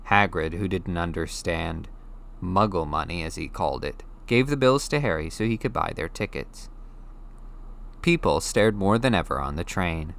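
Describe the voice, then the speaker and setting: A man's voice: low voice, lively intonation, moderate pace, gravelly, a bit bright. A man reading a children's novel aloud as scripted audiobook narration.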